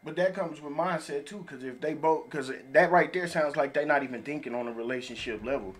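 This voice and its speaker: A man's voice: fairly high pitch, a little fast. A man in a casual podcast discussion, speaking earnestly and emphatically and circling back over his points. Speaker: podcast panelist.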